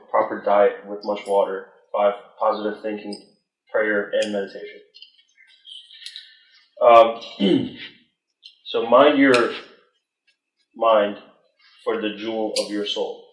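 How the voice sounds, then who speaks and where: Low flat-voiced man from Iran. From northern Iran. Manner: hesitant, many fillers like "like". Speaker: study group member reading and summarizing. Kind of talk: reading aloud then casual explanation. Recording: echoey room, mic far off.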